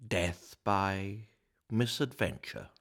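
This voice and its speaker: An actor playing a cold, grim nineteenth-century doctor in a radio play, measured and clinical. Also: male voice, sits low, vocal fry, fairly bright tone, heavily acted. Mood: cold, subdued. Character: grim, cold Victorian doctor with a guilty secret.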